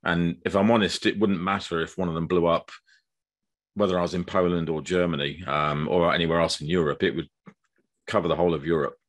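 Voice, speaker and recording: Low-voiced older Englishman on a video call. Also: steady tone. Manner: measured, explanatory, with frequent ums. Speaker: guest author. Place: dim room indoors.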